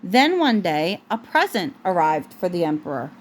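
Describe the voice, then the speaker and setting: A woman's voice: fairly low pitch, very animated, moderate pace. A woman reading a storybook aloud as a mother to her young child, often breaking off to correct the child. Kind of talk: storybook read aloud at home, with asides to a child.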